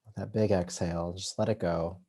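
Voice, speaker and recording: A man leading a guided meditation as a yoga nidra teacher, soothing and unhurried, leaving long pauses between short phrases. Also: sits low, steady tone, mellow, husky.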